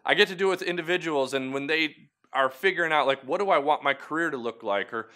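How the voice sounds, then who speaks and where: A man's voice: fairly high pitch, fast, bright, forceful tone. A man hosting a short weekly video devotional, talking earnestly and encouragingly to the viewer in a conversational way. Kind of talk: short devotional talk to camera.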